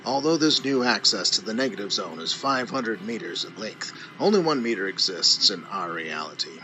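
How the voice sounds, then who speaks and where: A man's voice: lowish voice, sing-song, moderate pace, music in the background, rough recording. A man playing an eloquent, earnest superhero scientist in an audio drama, speaking in a formal, lecturing way full of wonder. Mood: proud, explaining.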